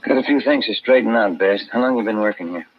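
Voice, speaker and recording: An American police detective played by a male actor in a vintage radio crime serial. His voice is low, casual and businesslike, on a dull recording with faint noise. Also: quick pace, natural voice. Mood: casual, probing. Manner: casual, laconic. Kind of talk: 1950s radio drama acting.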